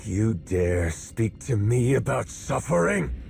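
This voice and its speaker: A bitter, proud warrior for a game cutscene. He speaks slowly but with big swings in pitch, defiant and intense, over prominent music. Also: very animated, creaky, heavily acted. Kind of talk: dramatic video game acting.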